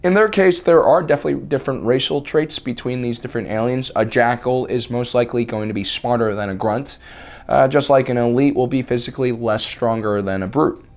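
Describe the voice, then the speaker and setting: A man hosting a scripted video essay, with a slightly raspy voice and a deadpan, mock-serious delivery. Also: mid-range voice, a little fast. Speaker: host.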